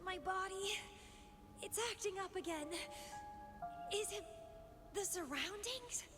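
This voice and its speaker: An actress voicing an intense, lovelorn and unhinged young woman fighter in a slow, theatrical video game monologue. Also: very high voice. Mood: strained, bitter. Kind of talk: dramatic video game voice acting. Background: dramatic game music.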